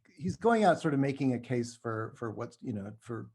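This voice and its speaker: A middle-aged man from New Mexico being interviewed, talking casually and haltingly, often correcting himself. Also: mid-range voice, sing-song, a little mellow. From New Mexico, United States. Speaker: podcast guest, writer.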